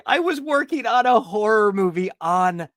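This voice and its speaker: Lively, higher-voiced man hosting a podcast. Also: normal speed, dry recording, clean studio sound. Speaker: podcast host. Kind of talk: casual interview chat.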